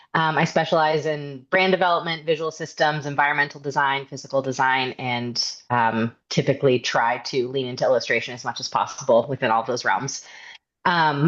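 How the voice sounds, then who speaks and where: A woman in her thirties introduces herself in a friendly, eager manner, often pausing with "um." Her voice sits low for a woman, and the video call audio comes through dull and lo-fi. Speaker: guest brand designer. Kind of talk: casual self-introduction.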